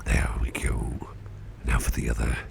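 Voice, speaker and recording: Man acting as a very deep, whispering, caring boss. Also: completely flat delivery, exaggerated voice. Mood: reassuring, unhurried. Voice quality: breathy near-whisper with heavy low creak. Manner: gentle, unhurried, reassuring, hesitant pauses. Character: caring, protective male boss tending to his employee. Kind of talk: soft-spoken whispered ASMR roleplay. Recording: close recording with clear background noise.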